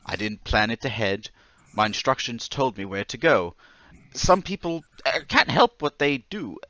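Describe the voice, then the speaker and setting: A troubled man played by an actor in an old time radio play, confessing in desperation. His voice is bright and tense and swings widely in pitch as he stammers and pleads. The sound is close and dry. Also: lively intonation, dry recording. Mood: anxious, halting. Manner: halting, agitated, stammering, pleading.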